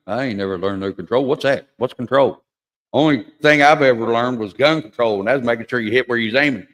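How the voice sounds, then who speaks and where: A man's voice: medium pitch, a little fast, fairly bright tone. A man hosting a livestream in casual chat, a crude, joking, rambling storyteller. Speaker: livestream host. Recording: long hall reverb.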